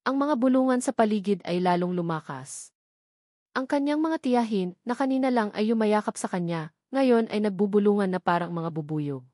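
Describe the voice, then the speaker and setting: A woman's voice: medium pitch, lively intonation, moderate pace, dark tone, no echo, studio quality. A woman narrating a scripted romantic drama as an audiobook, with dramatic, emphatic phrasing.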